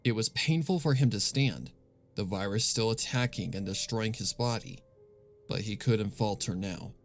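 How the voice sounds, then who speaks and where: A man reads dark fan fiction in a lowish, creaky voice. His scripted narration is grave and deliberate, set over faint music. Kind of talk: scripted dramatic horror narration.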